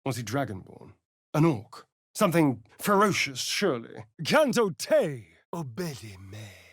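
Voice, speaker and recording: A man's voice: medium pitch, sing-song, measured pace, fairly bright tone, breathy edge, dry recording, studio quality, heavily acted. An actor playing a sly, vain male vampire rogue in a video game, with an arch, sardonic, theatrical drawl. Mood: commanding incantation. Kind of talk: video game character voice lines.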